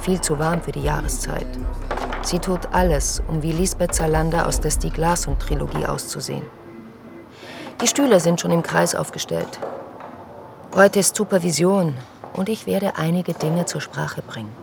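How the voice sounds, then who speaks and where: A woman's voice: low voice, normal speed, gravelly, over music. A woman playing a sardonic, bitter streetworker, narrating her own story drily in a radio drama. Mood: dry, observant.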